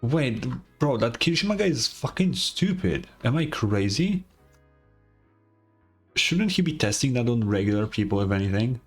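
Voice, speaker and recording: A man's voice: medium pitch, big pitch swings, moderate pace, husky, soft background music. A man giving casual playthrough commentary as a gaming streamer, blunt and sweary.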